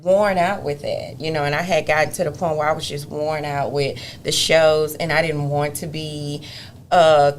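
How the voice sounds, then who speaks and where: Low-voiced woman telling her story. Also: normal speed, slight background noise. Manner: expressive, laughs often, animated storyteller. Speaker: gospel singer and guest. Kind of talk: conversational interview storytelling.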